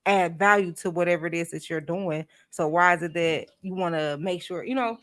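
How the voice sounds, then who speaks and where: Casting: woman, lowish voice, questioning and animated, casual podcast chat. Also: fairly quick. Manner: questioning, animated when quoting others.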